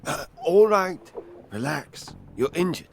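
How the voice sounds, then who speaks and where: Man playing a fatherly, lively-pitched ship's captain. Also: slow, vocal fry, a little hiss or hum, heavily acted. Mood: sceptical, soothing. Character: fatherly male ship's captain, reassuring, sceptical. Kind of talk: audio drama acting.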